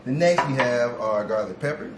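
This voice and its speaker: A young man, a guest chef, calmly explaining a recipe step by step in a home kitchen, filling pauses with "you know". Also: mid-range voice, a crowd in the background. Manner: steady, instructive, with fillers like "you know". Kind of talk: casual cooking demonstration. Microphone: far camera. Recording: echoey kitchen, noisy.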